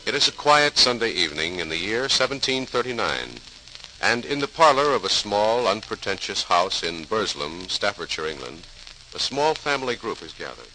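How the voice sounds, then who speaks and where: A male announcer narrating an old radio show with formal drama. His lowish voice is very forceful and swings expressively, over a faintly hissy vintage recording. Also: very animated, moderate pace, soft background music, rough recording. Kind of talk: 1940s radio scripted narration.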